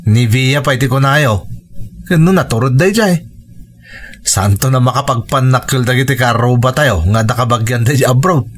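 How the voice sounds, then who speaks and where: A man playing a scheming, easygoing older brother who leans on his sister, with exaggerated drawn-out delivery and an Ilocano accent in a radio drama. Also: medium pitch, slightly rough, natural voice. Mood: wheedling, ambitious.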